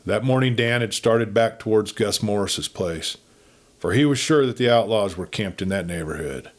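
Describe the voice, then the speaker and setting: A man with a fairly low voice narrates a western adventure story. He reads as an audiobook storyteller, giving the prose a dramatic delivery. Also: lively intonation, moderate pace.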